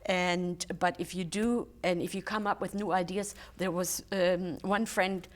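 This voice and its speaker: A woman's voice: fairly low pitch, little pitch movement. An older woman whose first language is German, chatting in an interview with frequent fillers and restarts. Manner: warm, halting, many fillers and restarts. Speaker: guest, charity volunteer. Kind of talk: casual interview chat. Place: local TV studio.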